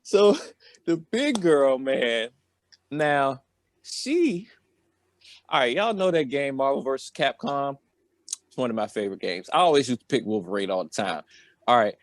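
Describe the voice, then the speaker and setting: A man's voice: medium pitch, big pitch swings, measured pace, pauses often, dry recording. An American man telling a personal story in a loose, rambling, slangy way, often tacking on filler phrases. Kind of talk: casual, rambling comedic storytelling.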